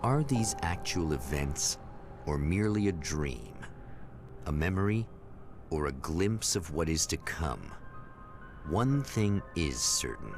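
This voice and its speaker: An actor playing the solemn, portentous narrator of a vintage mystery anthology show, in measured dramatic narration. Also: male voice, a bass voice, big pitch swings, music in the background, heavily acted.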